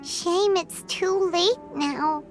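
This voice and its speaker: A woman voicing a small, childlike fairy companion in a video game, squeaky, curious and sympathetic. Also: drawn-out words, over music, exaggerated voice. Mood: wistful. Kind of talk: animated-style video game voice acting.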